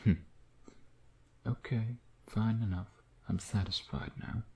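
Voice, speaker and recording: A man playing a smug, flirtatious vampire who dotes on his prey in an intimate ASMR roleplay, teasing and murmuring with breathy asides. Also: lowish voice, fairly even intonation, takes it slowly, dark tone, a little hiss or hum.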